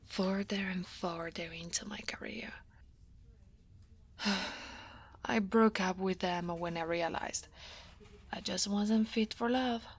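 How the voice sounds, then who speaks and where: A woman with an Italian accent playing a guarded, workaholic dragon woman who is a senior coworker, in a soft, often whispered ASMR roleplay, wry and self-deprecating with sighs and soft laughs. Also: mid-range voice, breathy edge. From Italy.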